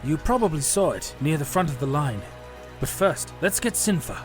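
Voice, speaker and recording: A man's voice: fairly high pitch, animated, normal speed, pitched above the performer's own voice, lightly acted. A man voicing an earnest, brave and kindly young knight in a fantasy audio story, exclaiming and giving urgent commands. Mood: eager. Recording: loud music behind the voice.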